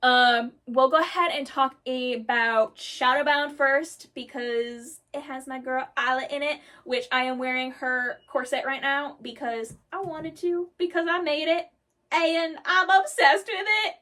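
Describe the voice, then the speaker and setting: A woman with a high, bright voice talks casually to her viewers about the characters she made up. She gushes and gets excited, and she fills her pauses with lots of "um"s. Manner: gushing and excitable, many "um" fillers. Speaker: storyteller vlogger.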